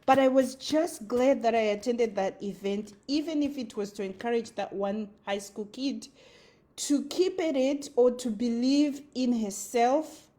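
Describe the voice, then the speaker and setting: A woman's voice: a little high, a little slow, warm tone. A woman with a Zimbabwean accent giving an earnest motivational talk, repeating her phrases for emphasis. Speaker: motivational speaker. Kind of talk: motivational monologue.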